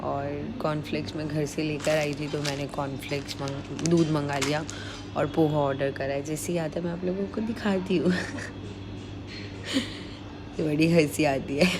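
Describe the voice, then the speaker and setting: A woman's voice: low-pitched, fairly even intonation, measured pace, noisy background. A young woman travel vlogger talking casually to her phone camera, slipping English phrases into her Hindi. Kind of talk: casual vlog chat to camera.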